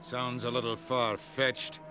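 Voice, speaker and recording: A man's voice: medium pitch, level tone, slow, bright, forceful tone, faint music behind. A man playing an irritable, sardonic middle-aged ambassador in a video game, brusque and sceptical. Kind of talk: video game cutscene acting.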